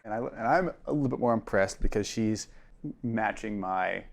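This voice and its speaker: A man's voice: on the low side, normal speed, creaky. A man playing a tabletop role-playing game, talking casually and hesitantly as he thinks aloud. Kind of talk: casual in-character roleplay and game talk.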